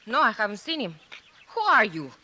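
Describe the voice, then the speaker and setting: Fiery Mexican señorita. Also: female voice, a little high, big pitch swings, normal speed, heavily acted. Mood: earnest. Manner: defiant, broken English, shouting when angry. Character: fiery young Mexican woman guarding her brother. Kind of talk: 1950s radio drama acting. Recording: old radio broadcast recording.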